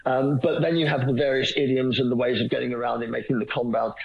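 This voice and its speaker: A man's voice: medium pitch, little pitch movement, fast talker, gravelly, slightly rough. A man being interviewed, rambling and hesitant, with many restarts and a dry self-mocking humor. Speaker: guest. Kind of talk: phone-in radio interview. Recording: muffled lo-fi line.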